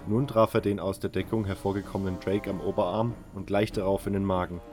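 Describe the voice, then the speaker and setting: A man's voice: low-pitched, little pitch movement, a little fast, music in the background. A man narrating a scripted audio drama story.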